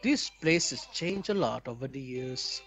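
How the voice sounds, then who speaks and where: Animated man reading a visual novel. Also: medium pitch, a little slow, faint music behind. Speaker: video game player and narrator. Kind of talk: expressive read-aloud playthrough of a visual novel.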